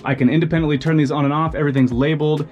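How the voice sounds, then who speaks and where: A man's voice: on the high side, racing, lo-fi sound, soft background music. A young man giving a chatty, step-by-step walkthrough of his shed, recorded on a handheld camera. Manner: relaxed, chatty, explains step by step. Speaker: homestead vlogger. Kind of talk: casual how-to walkthrough. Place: small wooden shed, outdoors in hills.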